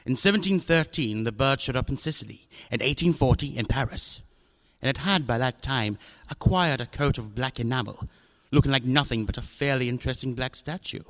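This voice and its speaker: A man voices a fat, scheming villain who speaks with oily courtesy and florid words. His voice sits at a middling pitch, and every line drips with polite menace. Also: brisk, fairly bright tone, close to an everyday voice. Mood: smooth, storytelling. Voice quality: florid, unctuous, courtly manner. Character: fat, genteel, scheming older male villain. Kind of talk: radio drama acting.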